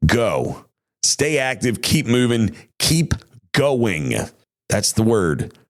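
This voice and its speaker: Podcast host, man, mid-pitched, worn voice, rambling and folksy. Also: big pitch swings, drawn-out words. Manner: rambling, folksy, with many restarts. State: tired, worn voice. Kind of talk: casual chat. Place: home studio.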